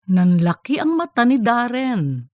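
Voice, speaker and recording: A woman's voice: fairly high pitch, very animated, a little slow, warm tone, dry recording, studio quality. A woman reading scripted third-person narration for a comic fiction audiobook.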